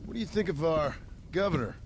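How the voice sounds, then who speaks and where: An actor playing a laconic, polite but menacing Western drifter in a video game, with a dry, understated delivery. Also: male voice, a little high, animated, brisk, noisy background, close to an everyday voice. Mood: dry, wry. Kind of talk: video game cutscene acting.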